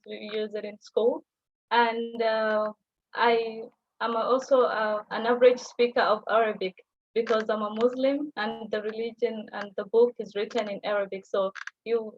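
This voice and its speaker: Young Kenyan woman presenting food over a muffled video call, higher voice, unhurried and full of fillers. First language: Somali. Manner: unhurried, explaining with many fillers. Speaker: guest language teacher. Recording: muffled video call audio with light noise.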